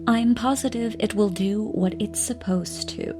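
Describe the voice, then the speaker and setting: A woman's voice: medium pitch, measured pace, natural voice. An actress playing a teasing, self-assured woman scientist in an audio drama, breezy and sardonic. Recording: music under the voice.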